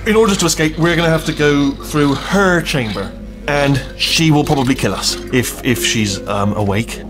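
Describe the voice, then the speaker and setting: An actor playing a nervous, bumbling little robot guide in a video game, rambling, hesitant and forever correcting himself. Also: male voice, fairly high pitch, normal speed, over music, lightly acted, rough recording. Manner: babbling, hesitant, self-correcting, excitable. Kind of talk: comic video game dialogue.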